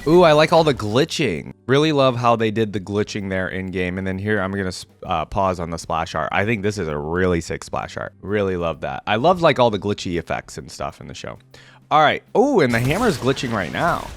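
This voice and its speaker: Low-voiced man giving casual reaction commentary. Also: big pitch swings, fairly quick, dry recording, faint music behind. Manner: informal, thinking aloud as he watches. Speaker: reaction video host.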